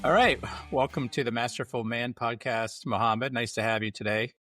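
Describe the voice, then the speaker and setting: A man hosting a podcast interview, asking earnest, measured questions. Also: mid-range voice, fairly even intonation, music in the background. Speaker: podcast host.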